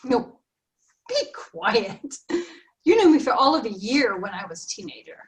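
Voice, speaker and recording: A woman's voice: on the high side, sing-song, measured pace. An actress in a staged reading playing a fussy, worried grandmother over sixty. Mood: scolding. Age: older. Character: fretful grandmother, sharp and worried. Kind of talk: virtual staged play reading.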